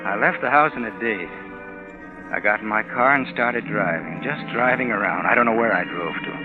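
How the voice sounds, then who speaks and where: A man's voice: lowish voice, moderate pace, close to an everyday voice. An actor playing a greedy, scheming American husband in a vintage noir radio drama, narrating and acting his part. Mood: brooding, calculating. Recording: muffled old radio broadcast with music.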